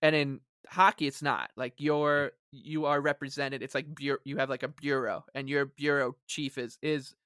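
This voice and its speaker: A man co-hosting a casual sports podcast, rambling along in an animated, emphatic way. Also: medium pitch, normal speed, few pauses, clean tone, no echo, clean studio sound. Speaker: podcast co-host.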